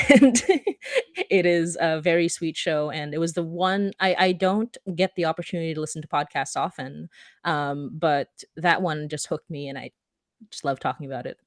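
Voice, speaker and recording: A woman's voice: sits low, normal speed, no room sound, studio quality. A woman hosting a live podcast panel in a casual, informal and effusive way.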